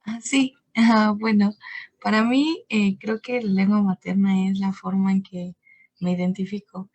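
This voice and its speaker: A woman's voice: medium pitch, little pitch movement, a little slow, darkish tone, dry recording. A teenage girl answering in an online class, thoughtful and earnest, on a video call mic. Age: teens. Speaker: student. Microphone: computer mic on a video call.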